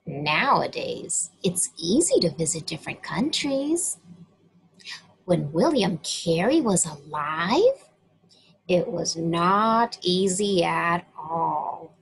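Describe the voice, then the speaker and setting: A woman from the United States reading a picture book aloud to children, slow and expressive, asking them questions. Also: fairly low pitch, gravelly, slight background noise, low-quality recording. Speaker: children's story reader.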